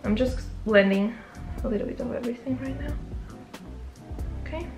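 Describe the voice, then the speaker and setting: A woman's voice: on the high side, moderate pace, darkish tone, soft background music. Young woman with a Portuguese accent. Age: young adult. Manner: chatty, self-correcting, rambling asides. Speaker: beauty vlogger. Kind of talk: makeup tutorial talk. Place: small bedroom, indoors. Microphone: camera close up.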